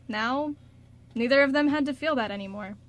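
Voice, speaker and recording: Higher-voiced woman narrating a drama. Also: moderate pace. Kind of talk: scripted radio drama narration.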